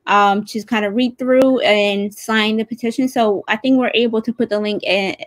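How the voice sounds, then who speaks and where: A woman's voice: on the high side, fairly even intonation, normal speed, no room sound. A woman casually hosting a livestream over a video call from indoors. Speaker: host, campaign director. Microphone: video call mic.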